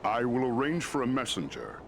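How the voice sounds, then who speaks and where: An actor playing a stately, wise lord of giant eagles in a fantasy game, speaking with regal formality. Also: male voice, mid-range voice, big pitch swings, fairly quick, creaky, background noise. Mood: solemn, regal. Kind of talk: fantasy game cutscene acting.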